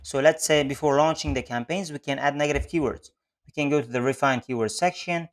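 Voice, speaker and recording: Higher-pitched man narrating a tutorial. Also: brisk. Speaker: online advertising tutorial presenter. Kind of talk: step-by-step screen-share tutorial narration. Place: voice-over on a screen recording.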